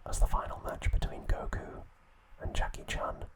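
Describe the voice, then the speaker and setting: A man narrates in a full, breathy whisper, with no voiced tone. He speaks as an ASMR host giving a recap. His delivery is slow and measured, one phrase at a time.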